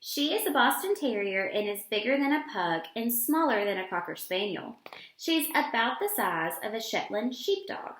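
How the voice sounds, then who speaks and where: A woman reads as an eager, playfully bossy schoolgirl from a children's storybook. The voice is fairly high and leaps about in excitement. It rings in a roomy, echoing space. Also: normal speed, slight background noise. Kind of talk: children's storybook read-aloud.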